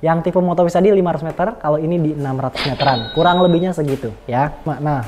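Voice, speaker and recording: A man's voice: a little high, fast, noisy background. A man, giving an informal, slangy, unscripted product review in a chatty way.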